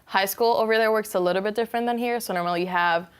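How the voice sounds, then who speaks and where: A woman's voice: medium pitch, fast, clear, resonant tone. A young woman from Venezuela, a student guest answering interview questions earnestly, with many fillers and restarts. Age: young adult.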